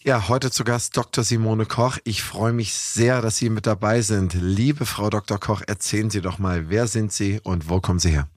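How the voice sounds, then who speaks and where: A hoarse man from Holstein hosting a podcast interview, talking with enthusiasm and heavy praise. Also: fairly low pitch, fairly even intonation, moderate pace, hardly any pauses, dry recording, studio quality. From Holstein, Germany. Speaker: podcast host. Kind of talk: podcast interview with scripted intro.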